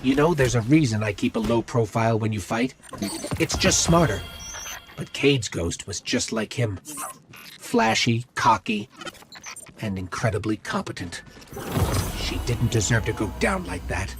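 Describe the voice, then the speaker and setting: An actor playing a small, loyal robot companion in a video game, earnest, watchful and explanatory. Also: male voice, mid-range voice, very animated, a little slow, pauses often, over music. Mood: reflective. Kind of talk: video game character acting.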